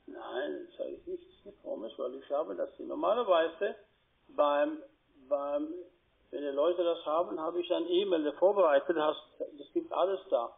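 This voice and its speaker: A man with a foreign accent, a non-native speaker, talking haltingly and repetitively on a sales call. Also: on the high side, creaky. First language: not German. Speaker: call-centre trader. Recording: tinny narrowband phone line.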